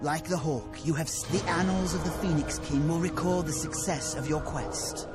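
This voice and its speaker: A man's voice: a little high, moderate pace, close to an everyday voice. A man playing a noble, formal, confident elven archer commander in a fantasy game, with music over him. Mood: solemn.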